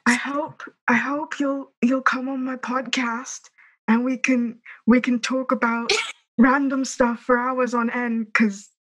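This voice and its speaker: A young woman hosts a casual podcast chat over a video call. Her voice sits a bit high, and she talks in bubbly, laughing, stop-start bursts. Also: flat delivery, takes it slowly, fairly bright tone, low-quality recording. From Trinidad. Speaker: podcast host.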